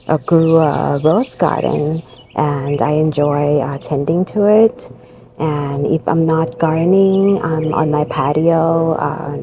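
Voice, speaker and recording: A woman's voice: deep voice, background noise. A woman in her forties from Bangkok with a Thai accent, talking candidly and reflectively to camera about her own life. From Thailand, Bangkok. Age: 40s. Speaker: vlogger. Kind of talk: personal reflective talk to camera.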